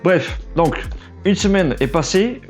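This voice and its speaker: A man's voice: high-pitched, animated, faint music behind. A young man with a Portuguese accent, French not his first language, talking off the cuff in a casual vlog. He stumbles and restarts often, and his voice is getting worn. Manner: halting, stumbles and restarts often, self-deprecating. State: losing his voice. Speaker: aspiring trailer editor, vlog host. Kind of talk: unscripted casual vlog monologue.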